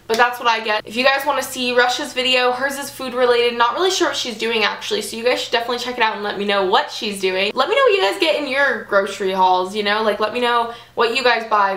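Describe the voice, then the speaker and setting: A young woman chatting casually and upbeat as she shows her groceries, with lots of "like" and quick asides. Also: a little high, pushed and bright. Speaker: fitness vlogger. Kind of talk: casual grocery haul vlog. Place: small bedroom, indoors. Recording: echoey room.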